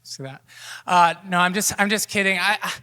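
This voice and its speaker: A man's voice: sits high, fairly quick, clean tone, big-room sound. A man in his thirties, a pastor, welcoming a church marriage group with eager, joking energy. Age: 30s. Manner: energetic, jokey, gushing with excitement. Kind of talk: upbeat event welcome from a church stage.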